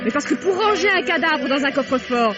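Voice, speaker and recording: A woman's voice: high-pitched, animated, a little fast, a loud crowd. An actress playing a teasing, outspoken young society woman in a vintage French radio mystery, with music behind her. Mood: teasing, playful. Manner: teasing, opinionated, playful. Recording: dull old radio recording. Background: loud music.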